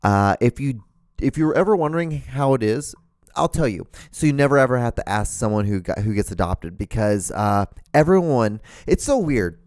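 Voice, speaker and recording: A man's voice: medium pitch, animated, moderate pace, a little mellow, no room sound, studio quality. A man in his thirties from Honduras, hosting a solo podcast in a casual, rambling, profane way with many restarts, sitting in a room at a microphone. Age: 30s. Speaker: podcast host. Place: room at home, seated in front of a camera. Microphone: studio microphone, wearing earphones.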